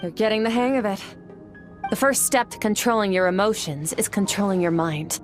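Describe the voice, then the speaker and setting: A woman voicing a cool, detached female warrior mentor who gives calm, clipped instructions in a game cutscene. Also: mid-range voice, normal speed, faint music behind, natural voice. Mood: coolly instructive. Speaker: sword mentor. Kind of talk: video game cutscene voice acting.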